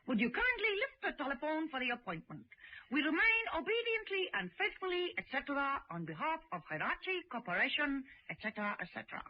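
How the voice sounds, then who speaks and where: An actress playing a brisk, clever, self-assured secret agent in a radio drama, composed and knowing. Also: female voice, sits high, sing-song. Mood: reading aloud. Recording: dull, noisy old radio recording.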